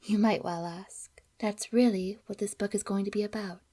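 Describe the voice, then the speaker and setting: A woman narrating a storybook in a soft, hushed voice. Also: medium pitch, steady tone, moderate pace, breathy edge, natural voice.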